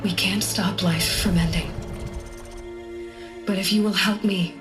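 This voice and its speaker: A woman's voice: mid-range voice, steady tone, unhurried, close to an everyday voice. An actress playing a visionary female scientist in a video game, giving an earnest, rousing speech. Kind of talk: recorded speech in a game cutscene. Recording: game audio with loud music.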